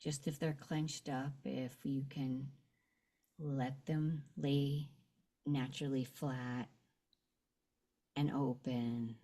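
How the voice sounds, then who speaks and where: A woman leading a guided meditation and visualization in a gentle, unhurried manner with long pauses. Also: a bass voice, little pitch movement.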